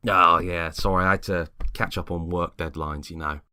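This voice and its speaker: A man playing a sleep-deprived, awkward male neighbour in a dramatic script reading, halting and evasive in manner. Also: low voice, steady tone, pushed and bright, slight background noise. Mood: weary, evasive.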